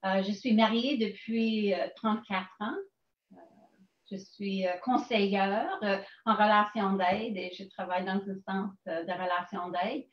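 A woman in her sixties, a native English speaker from Canada, speaking as a counselor guest on a live video call, friendly and pausing to find her words. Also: mid-range voice, steady tone. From Winnipeg, Manitoba, Canada. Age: older. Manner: friendly, laughs often, searches for words. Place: room at home. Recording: roomy, dull lo-fi video call audio.

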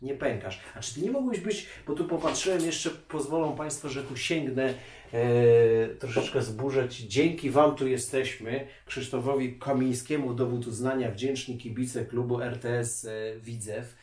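A man in his forties interviewing casually, with halting, searching phrasing. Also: mid-range voice, fairly even intonation, darkish tone. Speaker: interviewer, host. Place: small office, indoors. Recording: echoey room, distant microphone.

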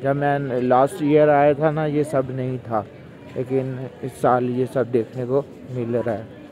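A man's voice: medium pitch, level tone, a little slow, poor audio. A young man vlogging casually as he walks a busy night market, chatting and calling out to his friend. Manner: chatty, calls out to his friend while walking. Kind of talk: casual walking vlog. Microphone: phone held at arm's length. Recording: busy crowd noise behind. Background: crowd chatter and traffic.

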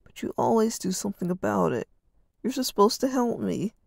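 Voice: lively intonation, normal speed, vocal fry, no echo, studio quality. A storyteller voicing a whiny, hurt teenage boy who pleads with his older brother. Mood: whiny, pleading. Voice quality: whining, high and pleading.

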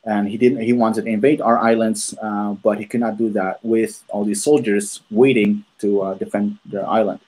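A man in his thirties from Maluku, hosting a podcast over a video call, telling his story at an unhurried pace with many ums and restarts. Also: fairly low pitch, flat delivery, darkish tone. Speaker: podcast host. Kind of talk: casual conversational storytelling. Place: room at home, on a video call. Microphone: earphones with a desk microphone.

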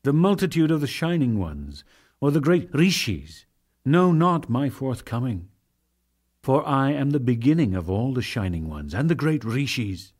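A man performing a solemn divine lord in a dramatic scripture reading, declaiming in measured phrases with deliberate pauses. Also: medium pitch, sing-song, a little mellow, slightly rough. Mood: solemn, exalted. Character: Krishna, solemn divine lord teaching a devotee.

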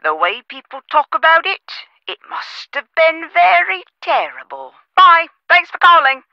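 A woman's voice: very high voice, big pitch swings, measured pace, no room sound, lo-fi sound, exaggerated voice. An actress playing a chatty, officious female office manager in a video game, heard over a telephone. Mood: confiding, friendly. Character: chatty, prim female office manager on the phone, policy-proud.

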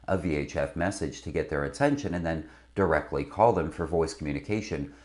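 A man's voice: sits low, darkish tone. A middle-aged man presenting scripted instruction to camera as a sailing video host. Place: indoor studio with branded backdrop. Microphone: lapel mic.

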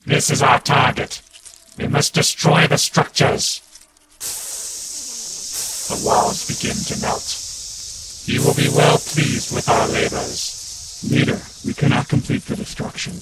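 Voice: mid-range voice, wildly swooping pitch, slow pace, gravelly, long pauses, background noise, full character voice. An actor playing a solemn alien soldier in a sci-fi audio drama, with stilted, formal speech. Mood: grim, solemn. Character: alien creatures, solemn hive soldiers and their commanding leader.

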